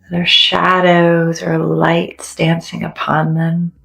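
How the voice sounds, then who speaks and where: A woman leading a guided meditation in a gentle, soothing, deliberate way, leaving long pauses between phrases. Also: on the low side, monotone, creaky, poor audio. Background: soft background music.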